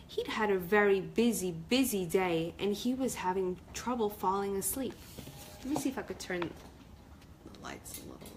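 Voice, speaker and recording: A woman's voice: medium pitch, normal speed, a little hiss or hum. A woman storyteller reading a children's book and chatting casually on a livestream. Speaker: author and storyteller.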